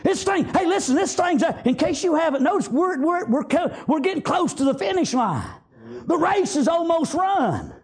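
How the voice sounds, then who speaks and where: A man with a Southern US accent preaching a fervent revivalist sermon, exhorting loudly and peppering it with praise interjections. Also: very high voice, sing-song, moderate pace.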